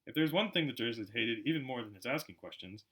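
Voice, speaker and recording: A man narrating a storybook aloud in an expressive, dramatic way, as an amateur audiobook reader. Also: mid-range voice, quick pace, breathy edge. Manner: animated, dramatic.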